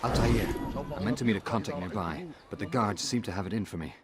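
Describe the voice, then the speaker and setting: An actor playing a worn-out, hunted informant in a video game, talking in a hurried, conspiratorial way. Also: male voice, lowish voice, crowd noise, lightly acted. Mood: anxious, pleading. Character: hunted, worn-out male informant seeking protection. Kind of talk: video game cutscene acting.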